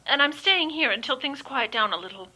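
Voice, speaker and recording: A woman's voice: high-pitched, animated, a little fast, bright, forceful tone, exaggerated voice, rough recording. A woman playing an anxious young daughter in hiding, speaking on the telephone in an old-time radio drama. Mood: anxious, secretive.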